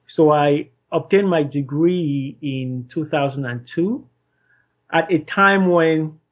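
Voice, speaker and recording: A man in his thirties from Cameroon, a startup founder, answering interview questions in a measured, earnest way. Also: medium pitch, phone-quality sound. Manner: measured, earnest, some restarts.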